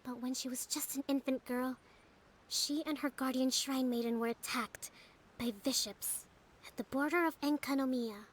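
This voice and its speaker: A woman playing a gentle, composed young priestess leader who explains in a measured, formal way in a video game cutscene. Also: sits high, steady tone, a little hiss or hum, lightly acted. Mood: solemn.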